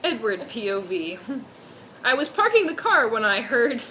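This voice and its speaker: A woman's voice: fairly high pitch, sing-song, moderate pace, very noisy. A woman doing a mock-serious dramatic reading of fan fiction, dropping in amused asides.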